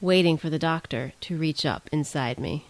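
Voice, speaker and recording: A woman's voice: deep voice, normal speed. A woman reading literary prose aloud as a story reader.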